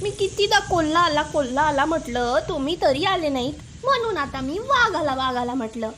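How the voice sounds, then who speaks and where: A playful, mischievous shepherd boy from a children's storybook, acted out by a woman. The voice is very high and youthful, that of a teasing little troublemaker. Faint noise sits behind the recording. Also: lively intonation, moderate pace. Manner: shouting alarms, teasing villagers.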